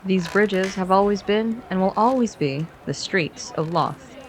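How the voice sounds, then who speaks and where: A woman's voice: mid-range voice, a little slow, a little hiss or hum. A woman co-narrating a fiction podcast in a scripted but conversational storytelling style, playful and informal with dry asides.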